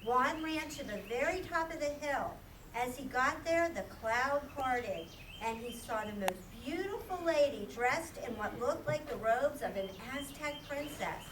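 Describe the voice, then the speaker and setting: High-pitched woman storyteller in echoey room. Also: animated, a little slow. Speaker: narrator. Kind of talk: scripted storytelling for children's puppet show. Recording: echoey room, distant mic, background noise.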